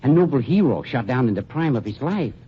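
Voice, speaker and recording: A man's voice: mid-range voice, big pitch swings, breathy edge. A man playing a silky scheming undertaker. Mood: unctuous. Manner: unctuous, falsely polite, with drawn-out pauses. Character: oily, smooth-talking male undertaker and blackmailer. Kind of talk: 1940s radio acting. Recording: dull lo-fi 1940s radio recording, faint hiss.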